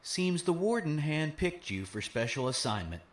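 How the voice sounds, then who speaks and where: An actor playing a naive, cheerful young-at-heart prison guard in an audio drama, speaking with gleeful congratulation. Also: male voice, a little high, very animated, moderate pace.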